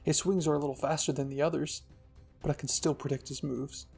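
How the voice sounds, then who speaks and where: A man's voice: fairly high pitch, fairly even intonation, brisk, gravelly, a little mellow, breathy edge. A man narrating a scripted fan-fiction story dramatically, as the host of a storytelling channel. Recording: music behind the voice.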